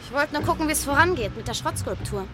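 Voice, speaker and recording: A woman's voice: high voice, expressive, quick pace, over music. An actress playing a direct, curious young woman in a German radio drama, casually forward and inquisitive.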